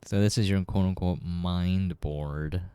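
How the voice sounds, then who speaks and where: Low, mellow male game commentator. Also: fairly even intonation, unhurried, clear, resonant tone. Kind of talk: casual let's-play commentary.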